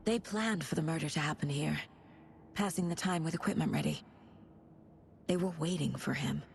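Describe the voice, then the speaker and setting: Female FBI investigator, video game. Low, gravelly, measured, probing delivery. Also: slight background noise. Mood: pensive, hushed. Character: composed, inquisitive female FBI agent investigating a murder. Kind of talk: video game dialogue and brooding inner monologue.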